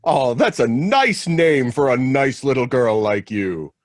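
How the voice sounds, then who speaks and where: A man's voice: mid-range voice, lively intonation, a little slow, no room sound, studio quality, lightly acted. A man playing a jolly, kindly old Santa Claus talking to a child in an old-time radio drama.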